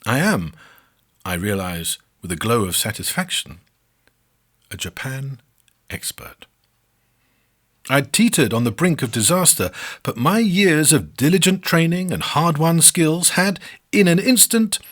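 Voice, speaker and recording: A man's voice: mid-range voice, fairly bright tone, husky. A man narrating a scripted first-person memoir, with a wry, mock-boastful, self-deprecating manner.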